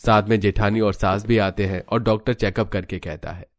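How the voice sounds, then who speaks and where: Low-voiced man narrating a story. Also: fairly even intonation, moderate pace. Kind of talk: scripted cartoon story narration.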